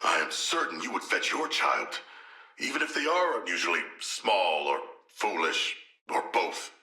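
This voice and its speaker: Very deep, forceful, erudite shark villain. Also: male voice, echoey room, exaggerated voice. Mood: earnest, thoughtful. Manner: formal, eloquent, measured, deadpan diction. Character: hulking, erudite, gentle yet proud humanoid shark villain. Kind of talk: video game character voice lines.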